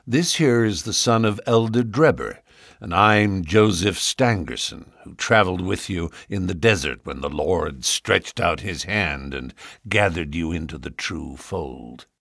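A man reading an audiobook, voicing a boastful, sanctimonious young suitor in a slow, measured delivery. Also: low-pitched, animated, creaky, a bit bright, dry recording, studio quality, exaggerated voice. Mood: smug.

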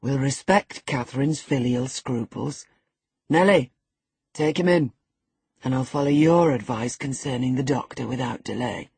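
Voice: medium pitch, a little slow, vocal fry, husky, no echo, heavily acted. A narrator voicing a menacing, sardonic middle-aged man who commands and sneers, in an audiobook of a classic novel. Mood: domineering, sardonic. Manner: sneering, commanding, alternately muttering and shouting.

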